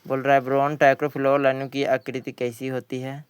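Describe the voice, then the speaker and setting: A man's voice: mid-range voice, completely flat delivery, fairly quick, clear, resonant tone, dry recording. A man teaching by reading exam questions and explaining the answers, often repeating himself. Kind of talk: exam question walkthrough lesson.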